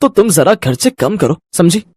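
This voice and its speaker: A man's voice: high-pitched, big pitch swings, moderate pace, darkish tone, slightly rough, dry recording, full character voice. Young working husband, acted by a man, practical everyday cartoon delivery, very dry studio-close sound. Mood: exasperated. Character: young salaried husband, practical and exasperated about money.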